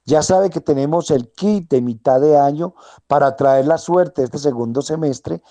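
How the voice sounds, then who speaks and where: A man hosting a radio show, rattling off a sales pitch for tarot readings and cleansings, repeating addresses and prices and blessing his listeners. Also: measured pace, dark tone, no echo. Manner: insistent, repetitive, blessing the listeners. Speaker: radio host selling esoteric services.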